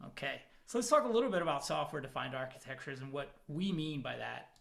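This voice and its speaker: A man presenting as a product manager at a technical conference, talking from home over a video call in a relaxed, self-deprecating way with plenty of fillers. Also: on the high side, fast, vocal fry. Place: home, in the evening.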